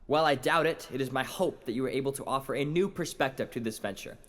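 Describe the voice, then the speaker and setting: An earnest academic played by a man lectures on a puzzle in an audio drama. The voice sits at a middling pitch, and the wording is formal and deliberate. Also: lightly acted. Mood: earnest, intrigued. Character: puzzled, earnest lecturer presenting a maritime mystery. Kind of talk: scripted audio drama monologue.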